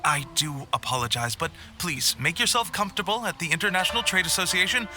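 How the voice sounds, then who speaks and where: A man's voice: high-pitched, lively intonation, quick pace, sharp tone, soft background music, full character voice. An actor playing a courteous, easily flustered male editor in a video game, deferential and earnest.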